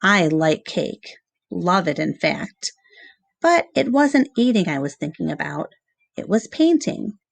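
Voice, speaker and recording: A woman reading a children's novel aloud in measured, expressive storybook narration with short pauses between phrases. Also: fairly low pitch, gravelly, no room sound.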